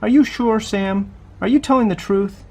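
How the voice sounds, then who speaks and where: A man's voice: high voice, moderate pace, creaky, warm tone, pitched above the performer's own voice. A man reading aloud as a firm primary school teacher who questions and admonishes. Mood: questioning, stern.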